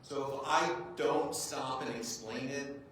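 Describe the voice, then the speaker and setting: A rabbi joking with a crowd in an echoey hall. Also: male voice, medium pitch, slow, a bit bright. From United States. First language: English. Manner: conversational, self-deprecating humor, frequent "right?" check-ins. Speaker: rabbi giving a community talk. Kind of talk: informal public talk to a group. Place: large echoey room with an audience. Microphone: clip-on mic, heard from a distance. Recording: very echoey hall, distant mic, faint noise.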